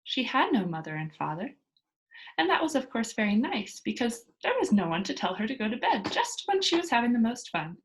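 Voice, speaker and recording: Woman reading to children with lively intonation. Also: fairly high pitch, fairly quick. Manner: storytelling, addressing children. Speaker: teacher reading a book aloud to pupils. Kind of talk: expressive children's read-aloud with informal explanations.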